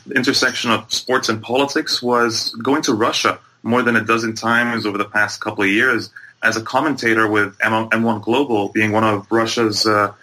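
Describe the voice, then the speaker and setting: A man's voice: lowish voice, fairly even intonation, normal speed, sharp tone, rough recording. A man from Egypt and Bahrain speaking as an interview guest, giving earnest, long explanatory answers in a conversational way and often repeating phrases. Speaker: guest, sports journalist and MMA commentator.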